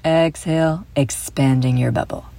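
A woman hosting a guided visualization for children, speaking in a soothing, deliberate way with gentle emphasis. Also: deep voice, steady tone, vocal fry, very noisy. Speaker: host and guide of a children's mindfulness audio show. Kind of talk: guided visualization, scripted narration for kids.

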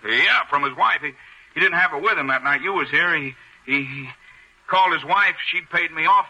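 An actor playing a sly, cowardly small-time blackmailer in a 1940s radio noir, with slangy smart-aleck talk. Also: male voice, fairly high pitch, moderate pace, pushed and bright, full character voice, rough recording. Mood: frightened, pleading. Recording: old, rough-sounding radio drama recording.

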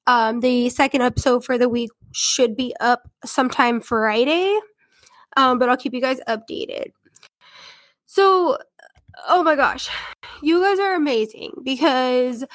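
A woman's voice: sits high, no echo. A young woman with a head cold and a congested voice, hosting a casual true-crime podcast in a chatty, rambling way. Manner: chatty, rambling, filler-heavy. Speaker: podcast host.

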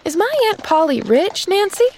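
A woman's voice: very high voice, sing-song, moderate pace, gravelly, darkish tone, slight background noise, pitched above the performer's own voice, full character voice. A woman voicing an earnest, talkative little orphan girl in a storybook, with breathless, rambling chatter. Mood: eager wonder. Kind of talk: storybook character voice.

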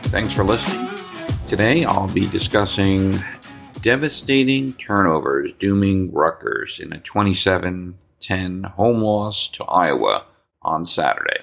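A man with a New Jersey accent hosting a sports talk podcast, his voice slightly hoarse, rambling with repeated words and ums. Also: deep voice, animated, a little slow, a bit bright, soft background music. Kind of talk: casual sports talk monologue.

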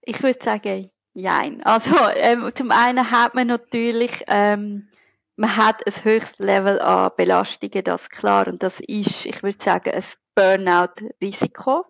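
Creaky-voiced Zurich Swiss German woman. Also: mid-range voice, fairly even intonation, measured pace, no room sound, muffled recording. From Zurich, Switzerland. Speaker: podcast guest, researcher and lecturer. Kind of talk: conversational interview answers.

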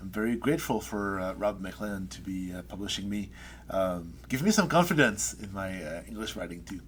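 A middle-aged man, a poet, reading his poem and then talking it over in a thoughtful, hesitant way with many pauses. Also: low-pitched, lively intonation, a little hiss or hum. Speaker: poet guest. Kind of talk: poetry reading and interview.

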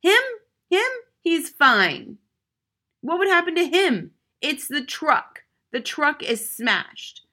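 A woman voicing an excitable grocer father, shouting in exasperation. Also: a little high, measured pace, hardly any pauses, full character voice. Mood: exasperated.

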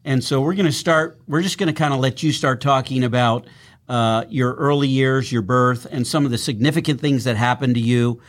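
A man's voice: medium pitch, steady tone, normal speed. Male pastor host, casual interview manner, mid-range voice. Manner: friendly, informal, loose phrasing. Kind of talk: relaxed interview chat. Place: church podcast studio.

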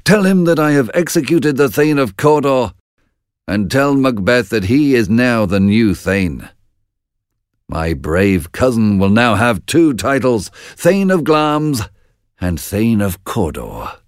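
A man's voice: mid-range voice, very animated, slow pace, no room sound, studio quality, pitched above the performer's own voice. A man voicing a stern king who gives firm orders. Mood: commanding. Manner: commanding, stern. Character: commanding old King Duncan.

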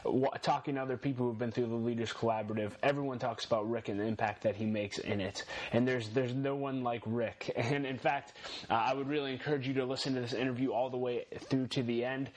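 A man's voice: medium pitch, fairly even intonation, fast, hardly any pauses. A man hosts an interview podcast in an ordinary mid-range voice. He speaks earnestly and appreciatively and asks his questions in a plain, friendly way. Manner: earnest and appreciative, with light laughs.

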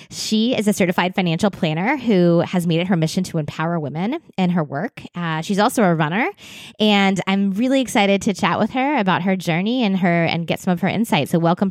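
A woman in her forties hosting a podcast interview, warmly enthusiastic and encouraging toward her guest. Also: lowish voice, expressive, a little fast, no echo, clean studio sound. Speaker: podcast host.

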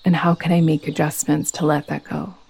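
A woman leading a guided meditation as a yoga nidra teacher, speaking in a soothing, gentle, unhurried manner. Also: low-pitched, little pitch movement, vocal fry, husky.

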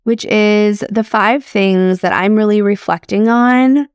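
A woman in her thirties hosts her own podcast and talks through personal reflections. She speaks in a chatty, upbeat way with casual fillers and an unhurried pace. The sound is dry and close to the mic. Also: medium pitch, dry recording. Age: 30s. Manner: chatty and upbeat, with frequent "like" and "crazy". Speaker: podcast host.

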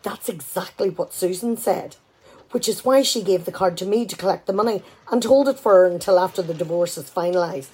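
An actress playing an exasperated, sarcastic wife in a radio play comedy, talkative and full of indignant questions. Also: female voice, lowish voice, a little fast, warm tone, breathy edge, low-quality recording. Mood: explaining.

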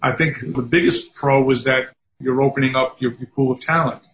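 An older man with a strong New York accent talks in an easy, chatty way, slipping in wry asides about himself. His mid-pitched voice comes through a somewhat muffled, lo-fi remote connection. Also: fairly even intonation, normal speed, fairly bright tone. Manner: chatty, self-deprecating asides. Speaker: guest, recruiting firm founder. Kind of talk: podcast interview. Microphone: remote call. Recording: dull lo-fi remote audio.

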